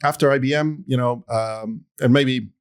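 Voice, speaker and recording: A man's voice: mid-range voice, normal speed, clean tone. Man in casual, rambling talk. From Argentina. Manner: relaxed, rambling, many "you know" fillers. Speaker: guest, security executive. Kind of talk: casual podcast interview. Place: studio.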